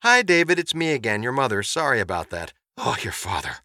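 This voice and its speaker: A man voicing an excitable, chatty, flustered middle-aged mother in an audiobook, gushing and rambling through phone messages. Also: mid-range voice, brisk, no room sound, clean studio sound, pitched above the performer's own voice. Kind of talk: audiobook character voice.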